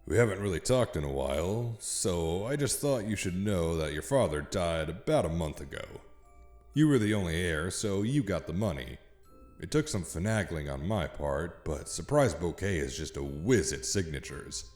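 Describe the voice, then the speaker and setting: A man's voice: sits low, animated, moderate pace, a little mellow, faint music behind. A man playing a genial middle-aged father pony in a horror story, affable and chatty with hidden menace. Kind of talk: character acting in an audio horror story.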